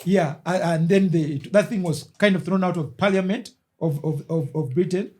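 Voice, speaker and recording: High-voiced man chatting casually. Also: darkish tone. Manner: rambling, halting, with many restarts. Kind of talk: casual chat.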